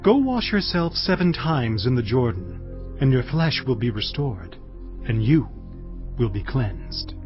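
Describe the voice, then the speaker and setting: An actor playing a solemn, authoritative Old Testament prophet who declaims firm commands in an audio Bible drama. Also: male voice, medium pitch, big pitch swings, measured pace. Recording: muffled audio with music behind.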